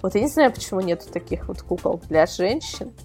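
A woman's voice: mid-range voice, lively intonation, a little fast, a little mellow, faint music behind. A woman speaking as an expert guest on a casual podcast, explaining things in a chatty way. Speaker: guest, magazine-style portal editor. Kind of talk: casual podcast interview answers.

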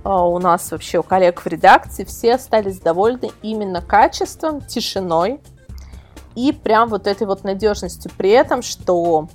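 A woman's voice: mid-range voice, expressive, moderate pace, a little mellow, faint music behind. A woman speaking as a guest reviewer in a casual podcast discussion, emphatic and repeating words for stress. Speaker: guest, product reviewer and website editor.

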